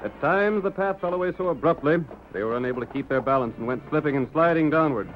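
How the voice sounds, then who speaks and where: A man's voice: fairly high pitch, fast. A man narrating a 1930s radio serial in a theatrical, suspenseful and formal manner. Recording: muffled 1930s radio with music behind. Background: dramatic music.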